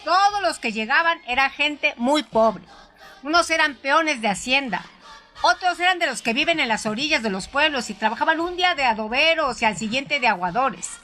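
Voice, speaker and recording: A woman reading a first-person story aloud in a measured, expressive way. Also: sits high, faint music behind. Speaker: narrator. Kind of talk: scripted audiobook narration.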